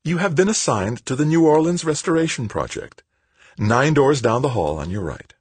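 A man's voice: a little high, huge pitch swings, moderate pace, a little mellow, breathy edge, dry recording, voice pushed up, full character voice. A man voicing a falsely cheerful, pious middle-aged woman bureaucrat in an audiobook, with a condescending, sing-song schoolteacher manner. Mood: sweetly smug. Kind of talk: audiobook character dialogue.

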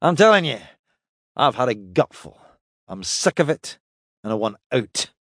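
A man's voice: mid-range voice, big pitch swings, a little slow, no echo, clean studio sound. A man voicing a blustering, wry, roguish male spaceship captain in an audiobook, exclaiming theatrically in colloquial speech. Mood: mock-solemn. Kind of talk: audiobook character acting.

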